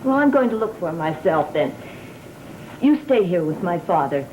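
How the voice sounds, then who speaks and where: An actress playing a doting, trusting daughter in a gothic soap opera, solicitous and gently insistent in manner. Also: female voice, lowish voice, sing-song, normal speed, slight background noise. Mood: eager. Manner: solicitous, bright-spirited, insistent. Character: doting, trusting daughter, happily sure of herself. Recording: dull vintage TV soundtrack, loud music.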